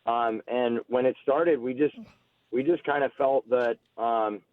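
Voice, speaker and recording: A man's voice: medium pitch, fairly even intonation, a little slow. An American man in his forties talking casually as a radio interview guest, telling stories about himself. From United States, Alabama-born, grew up near Seattle. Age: 40s. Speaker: guest, political candidate. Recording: muffled lo-fi call-in radio line.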